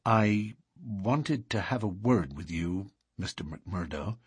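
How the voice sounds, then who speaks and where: A male narrator plays a careworn older man from a storybook, guarded and bitter. The voice is low and husky, hesitant, and swings widely in pitch when anguish breaks through. It is recorded close and dry. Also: big pitch swings, a little slow, dry recording, full character voice. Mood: hesitant, cautious. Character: cautious, bitter older man, troubled lodge brother. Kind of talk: audiobook character dialogue.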